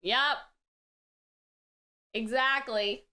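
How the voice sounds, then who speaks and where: A woman giving casual, excitable reaction commentary as the host, exclamatory and slangy, swinging between shouts and mutters. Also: on the high side, drawn-out words.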